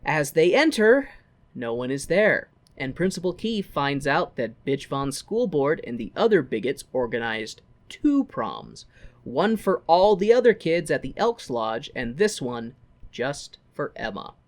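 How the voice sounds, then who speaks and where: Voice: medium pitch, big pitch swings, measured pace. Podcast co-host, mid-range voice, casual recap, irreverent, profane, jokey.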